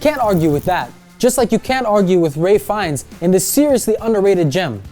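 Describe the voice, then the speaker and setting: A woman's voice: medium pitch, lively intonation, normal speed, over music. A woman presenting a scripted countdown list with chatty, opinionated pop-culture commentary.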